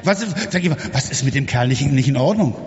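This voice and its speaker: A man's voice: medium pitch, expressive, fast. A man interpreting a sermon live, with hesitant restarts as he paraphrases each line. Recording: muffled, echoey hall.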